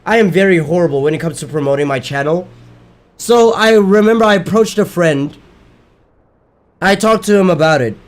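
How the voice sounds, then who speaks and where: A man streams a game and chats at the same time, his speech a little higher than most men's. His voice is worn from daily streaming. He rambles, doubles back on his words and swears casually between moves. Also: normal speed, pauses often. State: losing his voice. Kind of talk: casual chat while gaming.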